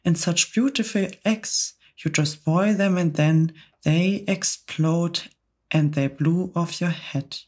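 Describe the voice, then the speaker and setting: A woman voicing an excitable, boastful male centipede who recites rhyming verse with relish. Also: lowish voice, slow pace, husky. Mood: gleeful.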